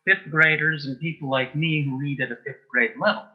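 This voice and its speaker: A man's voice: fairly high pitch, fairly even intonation, brisk, muffled recording. A middle-aged man reminiscing as an interview guest in a precise, matter-of-fact, dryly humorous way. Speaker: guest, author and playwright. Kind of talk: casual reminiscing interview chat.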